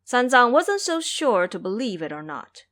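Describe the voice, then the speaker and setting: A woman's voice: on the high side, very animated, moderate pace, fairly bright tone. A woman narrator telling a story in a dramatic storybook style for an audio drama.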